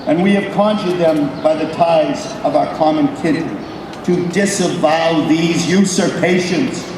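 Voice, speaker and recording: A man giving a formal, solemn public reading of a proclamation as a ceremonial officer, projecting loudly with deliberate pauses between phrases. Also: a little high. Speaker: military company captain giving a ceremonial reading. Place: public ceremony at an old statehouse. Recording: distant, echoey public address with background noise.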